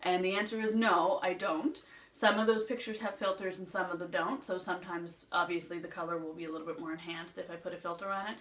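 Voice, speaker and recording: A woman's voice: lowish voice, steady tone, quick pace, a bit bright. Chatty Nova Scotian woman, roomy sound. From Nova Scotia, Canada. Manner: rambling, chatty, reading off a list. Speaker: beauty vlogger. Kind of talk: casual chatty vlog. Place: indoors at home, seated. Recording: roomy sound from a distant mic.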